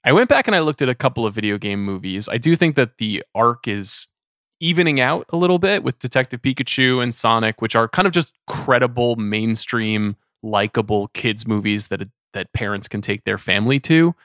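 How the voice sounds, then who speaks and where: Male podcast host, casual panel talk, average pitch, dry. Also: expressive, normal speed, fairly bright tone, dry recording.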